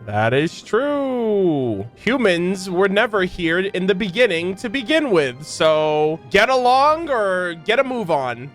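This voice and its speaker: A man reacting to a video game in a casual, slangy, joking and expressive way, as a streamer. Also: high voice, slow, hardly any pauses, faint music behind.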